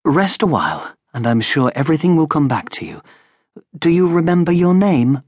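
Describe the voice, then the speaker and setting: Man acting kindly lady of the castle, soothing, polite, gracious, husky, dry audiobook sound. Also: a little high, sing-song, normal speed, a little mellow, no room sound, pitched above the performer's own voice. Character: kindly, gracious noblewoman, caring for a patient. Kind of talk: audiobook character voice.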